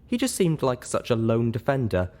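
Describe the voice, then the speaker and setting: A man's voice: mid-range voice, huge pitch swings, moderate pace, mellow. A man narrating a first-person story expressively, as an audiobook reader.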